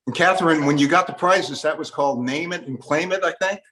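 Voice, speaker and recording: A man's voice: on the high side, a little fast, fairly bright tone. An older man hosting a casual interview, chatting conversationally with his guests. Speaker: interview host.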